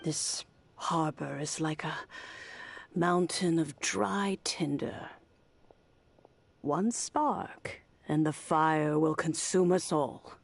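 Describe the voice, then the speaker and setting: A wistful elderly wise woman in a game, low and creaky. She speaks slowly and reflectively, like a storyteller, over soft music. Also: no room sound, full character voice. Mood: wistful, grave. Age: older. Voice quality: creaky, aged. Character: wistful, wise old woman of a harbor town. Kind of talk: video game voice acting.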